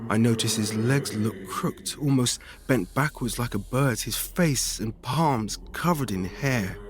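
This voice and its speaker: A man reading a first-person horror story as scripted narration, in a measured, dramatic storytelling manner. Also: medium pitch, background noise. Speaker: guest story reader.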